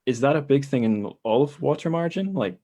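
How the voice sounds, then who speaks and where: A man's voice: mid-range voice, expressive, a little fast, warm tone. A man hosting a podcast, chatting informally and conversationally about books. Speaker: podcast host. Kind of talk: casual book discussion.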